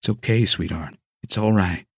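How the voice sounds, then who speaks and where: A woman voicing a calm, gentle male medic in an audiobook, speaking soothingly. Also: extremely low pitch, fairly quick, dry recording, clean studio sound, voice pushed down. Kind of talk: audiobook dialogue.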